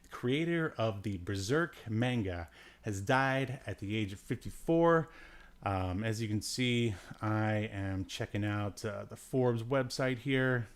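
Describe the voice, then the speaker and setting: Low-voiced man chatting casually. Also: animated, takes it slowly. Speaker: podcast host. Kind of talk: casual news chat.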